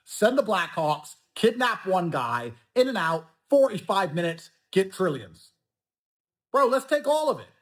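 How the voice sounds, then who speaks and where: A man's voice: high voice, big pitch swings, a little slow. A man ranting crudely and aggressively on a podcast clip. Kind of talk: podcast rant.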